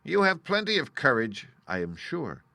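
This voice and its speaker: A man voicing an old humbug wizard who gently confesses he is no real magician, speaking with a confiding, sheepish manner. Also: mid-range voice, huge pitch swings, moderate pace, gravelly, no echo, full character voice. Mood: confessing. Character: humble old male humbug wizard, apologetic.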